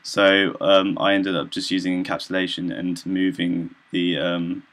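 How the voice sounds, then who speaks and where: A young man giving an informal student demonstration of software, talking in a hesitant, halting, loosely explanatory way. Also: sits low, flat delivery. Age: young adult. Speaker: student presenter.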